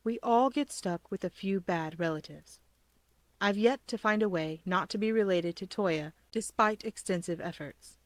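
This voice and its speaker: A woman's voice: medium pitch, moderate pace, no echo, lightly acted. A woman voicing an earnest, melodramatic teenage boy conspiracy theorist, matter-of-fact, in a story reading. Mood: gloomy. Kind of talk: audiobook character voice.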